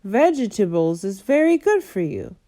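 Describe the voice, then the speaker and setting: A woman voicing a gentle, clever male giant who speaks with playful, mangled grammar and made-up words. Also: mid-range voice, sing-song, normal speed, no room sound, clean studio sound, natural voice. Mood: coaxing, playful.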